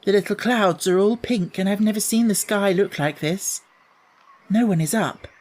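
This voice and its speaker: Man doing an eager young girl, pitched up. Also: moderate pace, darkish tone, close to an everyday voice. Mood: delighted, eager.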